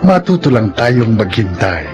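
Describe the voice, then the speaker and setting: Animated Filipino male radio announcer. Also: lowish voice, measured pace, over loud music. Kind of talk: scripted radio drama narration and credits.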